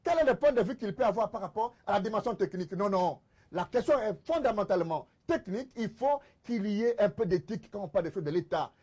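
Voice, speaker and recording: A man's voice: sits high, expressive, fast, warm tone. A man with a Congolese accent arguing insistently in a political TV debate, repeating phrases for emphasis. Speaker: debate panelist, political commentator.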